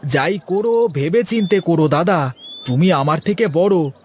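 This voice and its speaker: A man's voice: fairly high pitch, lively intonation, unhurried, darkish tone, a little hiss or hum. A man voicing a cautious, respectful younger brother in a Bengali village cartoon.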